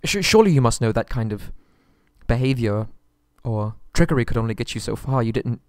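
A man's voice: medium pitch, animated, fairly quick, a little mellow, no echo, studio quality, natural voice. A man playing a nervous, submissive, polite young prince held captive, stammering and pleading in an ASMR roleplay audio drama. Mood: flustered, placating.

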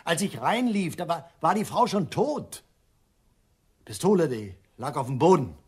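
A man's voice: fairly high pitch, sing-song, measured pace, darkish tone, husky, exaggerated voice. A male actor playing an insistent, defensive criminal suspect in a German radio crime play, protesting in clipped phrases. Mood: urgently insistent.

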